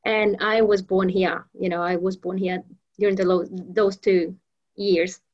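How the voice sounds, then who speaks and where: A woman's voice: mid-range voice, little pitch movement, a little slow, muffled recording. A woman from Venezuela whose first language is Spanish, a professional trainer being interviewed, talking with many fillers and restarts. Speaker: guest, professional trainer and mentor. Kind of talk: interview conversation.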